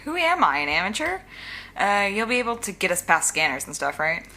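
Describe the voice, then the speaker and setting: Female villain actress, audio drama: wry, cautious, practical, bright-toned, sarcastic, naturalistic delivery, slight background noise. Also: mid-range voice, sing-song, a little fast, rough recording. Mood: dry banter. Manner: dry, sarcastic.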